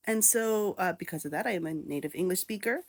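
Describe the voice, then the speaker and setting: A woman's voice: lowish voice, a little fast, darkish tone, no room sound. Chatty woman vlogging to camera. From born in Japan, raised in New York City. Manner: chatty, candid, with fillers and self-asides. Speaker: content creator. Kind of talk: casual talking-to-camera vlog.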